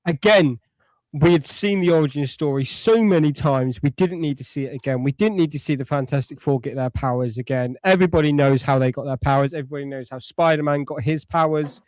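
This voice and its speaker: Male co-host, fairly high register, close dry sound, earnest explainer in relaxed podcast discussion. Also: brisk, dry recording, poor audio. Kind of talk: casual opinionated chat.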